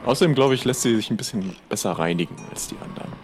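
A man's voice: medium pitch, animated, rapid-fire, faint music behind. A man improvising casual pirate role-play dialogue as a player, chatty and somewhat hesitant.

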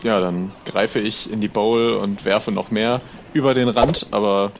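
A man's voice: lowish voice, fairly even intonation, a little slow, a little hiss or hum. A man role-playing casually in an improvised adventure game, tentatively thinking aloud as a player.

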